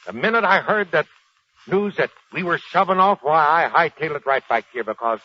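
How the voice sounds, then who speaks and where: An actor playing a gruff, proud, aging American Marine sergeant with folksy, ungrammatical slang in a 1940s radio drama. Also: male voice, a little high, lively intonation, brisk, sharp tone. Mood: deferential, chatty. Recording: dull 1940s radio recording.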